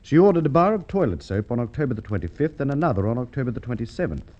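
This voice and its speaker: An actor playing a shrewd police inspector with an Australian accent, deliberate and courteous in the style of golden age radio drama. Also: male voice, medium pitch, sing-song, dark tone. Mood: musing, assured. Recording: dull vintage radio drama recording.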